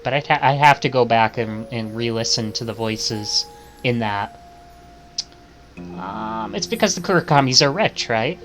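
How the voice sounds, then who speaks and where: A man's voice: mid-range voice, lively intonation, unhurried, vocal fry, fairly bright tone, soft background music. A man reading visual novel text aloud as a streamer, stumbling and correcting himself, with casual asides.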